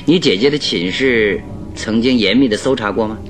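An actor in a radio drama playing a probing, methodical male detective with a deliberate, inquisitive delivery. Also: fairly low pitch, faint music behind, close to an everyday voice. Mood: probing, thoughtful. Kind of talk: old Chinese radio drama acting.

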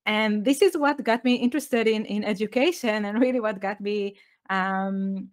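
A woman's voice: medium pitch, moderate pace. A middle-aged woman from Israel with an Israeli accent, a guest on a podcast interview, explaining her ideas earnestly with light laughs. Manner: earnest, explanatory, with light laughs and slightly non-native phrasing. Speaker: guest, education author.

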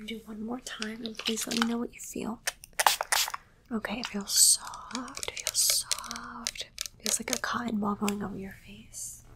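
A woman playing a calm alien examiner in an ASMR roleplay, whispering softly and slowly, with clinical reassurance. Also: a little high, heavy background noise, lightly acted. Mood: soothing. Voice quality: breathy whisper. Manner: soft, unhurried, repetitive, clinically reassuring. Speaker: ASMR performer.

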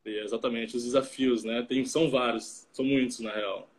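A man in his late twenties from Salvador, Brazil, co-hosting a casual live stream and talking with a video-call mic, relaxed and full of fillers. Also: mid-range voice, moderate pace, slight background noise. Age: 20s. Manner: relaxed, chatty, many fillers and tag questions.

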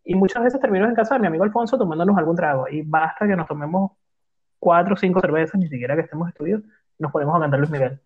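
High-voiced Venezuelan man, lo-fi sound. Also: racing, husky. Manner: chatty, joking, storytelling. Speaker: podcast host. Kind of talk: casual chat, leading a music playlist talk. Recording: dull lo-fi sound.

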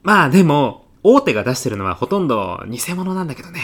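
A man's voice: fairly high pitch, big pitch swings, fast talker, fairly bright tone, slightly rough, exaggerated voice. A man playing a mild, self-effacing classmate in his thirties with a sly salesman's streak, speaking politely in a comedy radio drama. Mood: casually expert. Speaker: actor in an audio comedy sketch.

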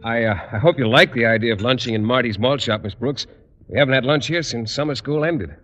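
An actor playing a shy, naive, earnest bachelor teacher in an old radio sitcom, hesitant and polite. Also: male voice, mid-range voice, quick pace, fairly bright tone, lightly acted. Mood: mild, polite. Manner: hesitant, polite, literal-minded. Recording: very noisy, muffled vintage radio, long reverb.

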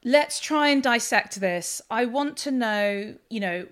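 A woman's voice: on the high side, lively intonation, slow pace. A woman hosting a food podcast, reading a scripted intro before chatting casually with her guest. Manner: reads a scripted intro, then chats casually and laughs along. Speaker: podcast host. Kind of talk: podcast interview.

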